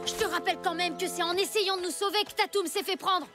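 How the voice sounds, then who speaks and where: An actor playing a plucky, excitable and loyal young boy in an animated cartoon, earnest and given to exclaiming. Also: very high-pitched, fast talker. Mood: earnest, reproachful. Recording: loud cartoon music behind the voice. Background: cartoon music.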